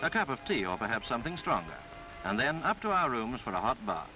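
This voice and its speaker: A man reading a scripted 1950s newsreel travelogue in a formal, florid, measured way. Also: medium pitch, big pitch swings, poor audio. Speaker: newsreel narrator. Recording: 1950s film soundtrack with background music. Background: music.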